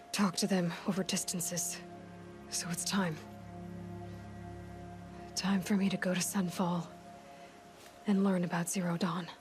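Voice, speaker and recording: An actress voicing a young woman warrior in a video game, resolute and lonely, speaking in a soft, breathy, halting and reflective way. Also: lowish voice. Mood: somber, reflective. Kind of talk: video game character monologue. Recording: studio game dialogue over background music.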